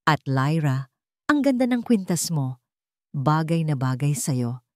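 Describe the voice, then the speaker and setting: A woman's voice: deep voice, unhurried, no room sound. Woman acting a cool, vengeful wife, dry sound. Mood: falsely sweet. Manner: calm with a hidden sharp edge. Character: vengeful wronged wife, coolly poised and menacing.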